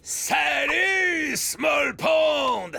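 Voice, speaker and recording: A woman voicing a loud, jolly, party-loving paramecium relative, exuberant and shouting, in a French cartoon dub. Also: high-pitched, animated, very slow, gravelly, over music, heavily acted. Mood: jubilant.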